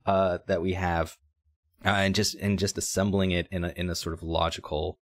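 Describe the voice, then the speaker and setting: A man's voice: deep voice, flat delivery, normal speed, no room sound. A man co-hosting a podcast in casual chat, jokey and rambling with fillers. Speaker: podcast co-host.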